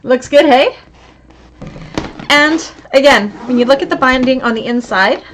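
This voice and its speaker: A woman's voice: sits high, unhurried, a bit bright, a little hiss or hum. A woman teaching a live online sewing class, chatty and encouraging as she answers viewer comments. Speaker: sewing instructor.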